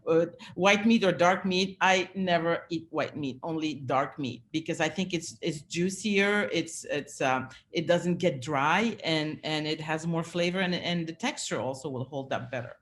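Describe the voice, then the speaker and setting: A woman who grew up in Switzerland after Lebanon, hosting a casual video-call chat in an effusive, halting way. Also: deep voice, a little hiss or hum. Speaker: event host, champagne producer.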